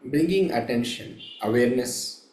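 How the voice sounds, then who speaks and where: A man guiding a meditation as a teacher, speaking in measured, halting short phrases with long pauses between them. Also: medium pitch, animated, a little mellow. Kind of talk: slow guided meditation instruction. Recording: roomy, echoing room sound.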